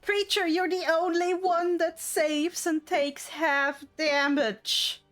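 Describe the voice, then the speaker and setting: A woman's voice: very high voice, flat delivery, takes it slowly, a bit bright, faint music behind. A woman running an online tabletop roleplaying game as game master, narrating and calling for rolls.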